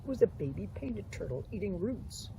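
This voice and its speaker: An older woman reading a children's story aloud outdoors, with deliberate, expressive delivery and asides. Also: mid-range voice, creaky. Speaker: children's book author and storyteller. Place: outdoors on a sandy pond beach. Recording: outdoor ambient noise, somewhat distant.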